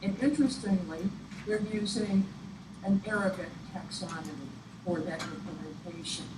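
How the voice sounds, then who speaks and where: A woman presenter giving an instructional presentation in a deliberate, explanatory way. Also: on the low side, fairly even intonation. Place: echoey room, picked up from afar. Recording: echoey, distant room recording with clear noise. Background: clear room noise.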